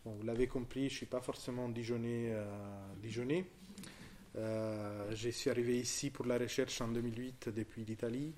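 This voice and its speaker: A man's voice: mid-range voice, steady tone, moderate pace, a little mellow, a little hiss or hum. Hesitant Italian-accented man. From southern Italy. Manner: hesitant, searching for words, many restarts. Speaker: visitor discussing an exhibition. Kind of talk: casual conversation.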